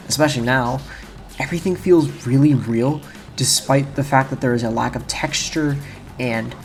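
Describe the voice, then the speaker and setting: Male video essayist: informal, loose game commentary, medium-pitched voice, faint music underneath. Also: darkish tone. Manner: informal, rambling, self-correcting.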